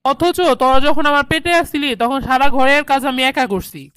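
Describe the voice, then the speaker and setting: A woman as a high-pitched, nagging mother-in-law. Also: fairly quick, a bit bright, no room sound, clean studio sound. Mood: scolding, resentful. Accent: Bangladeshi colloquial. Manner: scolding, complaining. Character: nagging, hot-tempered middle-aged mother-in-law. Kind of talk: cartoon drama dialogue.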